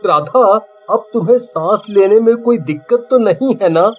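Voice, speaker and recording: A man voicing a caring, cautious village husband in a cartoon, with a husky voice. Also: high voice, normal speed, darkish tone. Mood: concerned. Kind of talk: cartoon voice acting. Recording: muffled lo-fi audio, music behind.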